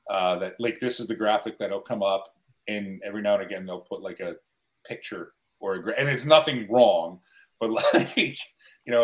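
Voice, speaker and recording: A middle-aged man in casual conversation as a guest sports broadcaster, telling stories with wry, self-deprecating humour. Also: on the low side. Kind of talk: casual chat, storytelling.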